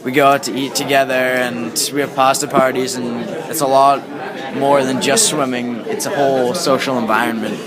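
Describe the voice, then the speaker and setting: A young man, a student athlete, giving earnest, halting answers in a casual interview. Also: mid-range voice, level tone, rough recording. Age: teenager or young adult. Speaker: high school swimmer. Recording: loud crowd din in large indoor space. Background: loud crowd.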